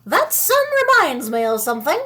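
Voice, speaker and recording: A woman voicing an excitable seven-hundred-year-old woman in a storybook, dramatic and exclaiming. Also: high-pitched, moderate pace, slight background noise, full character voice. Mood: musing.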